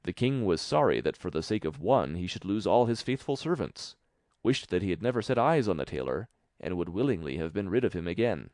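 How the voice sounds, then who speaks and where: A man's voice: low voice, big pitch swings, a little fast, darkish tone, no room sound, studio quality. A man reading a fairy tale as scripted audiobook narration.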